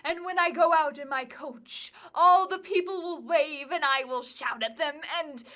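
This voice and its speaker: A woman's voice: high-pitched, measured pace, sharp tone. A woman playing a bossy, boastful little girl in a theatrical monologue, imperious and emphatic. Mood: boastful, excited. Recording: music bed behind voice.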